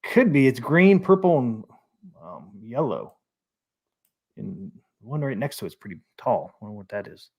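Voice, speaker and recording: A man's voice: mid-range voice, lively intonation, normal speed. A man hosting a casual live stream, chatting and reading out viewer comments. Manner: easygoing, reads out viewer comments.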